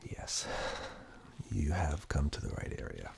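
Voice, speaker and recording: A man doing soft-spoken, half-whispered ASMR roleplay, reassuring and unhurried, speaking directly to the listener close to a binaural microphone. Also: big pitch swings, a little hiss or hum. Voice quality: breathy whisper mixed with very deep, gravelly murmur. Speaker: ASMR performer playing caregiver and eye examiner roles.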